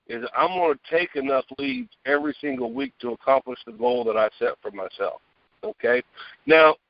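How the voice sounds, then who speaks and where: A man giving an emphatic, conversational motivational sales talk over a phone earpiece, often checking in with a quick question. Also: medium pitch, dry recording. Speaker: guest speaker, sales director. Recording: dull lo-fi phone earpiece call.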